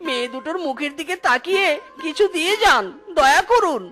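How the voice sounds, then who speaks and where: A woman as a high-voiced weary poor beggar. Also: expressive, a little fast, pushed and bright, soft background music. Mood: pleading. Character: poor beggar spouse, weary, pleading and worried. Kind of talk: children's cartoon dub.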